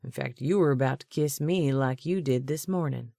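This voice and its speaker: A woman voicing a passionate grown man, a romantic hero who murmurs softly and snaps back hard when challenged. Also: moderate pace, gravelly, no room sound, clean studio sound. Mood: smug. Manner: low murmured tenderness turning to heated retorts.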